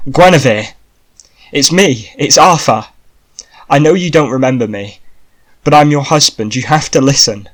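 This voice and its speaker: A man's voice: mid-range voice, moderate pace, long pauses, voice pushed up, natural voice. A man voicing a desperate, devoted king and husband, urgent and earnest, in an audiobook drama. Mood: desperate pleading.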